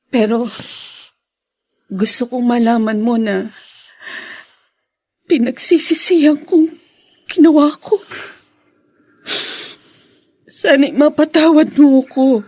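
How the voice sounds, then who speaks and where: An actress playing a repentant, earnest young Filipina daughter in a radio drama, pleading and close to tears. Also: female voice, a little high, mellow, dry recording. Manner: pleading, tearful delivery.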